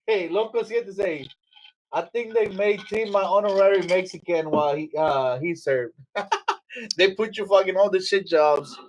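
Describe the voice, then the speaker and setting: A man's voice: sits high, a little slow, a little hiss or hum, rough recording. A man co-hosting a casual interview, joking and teasing the guest with constant profanity. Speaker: co-host.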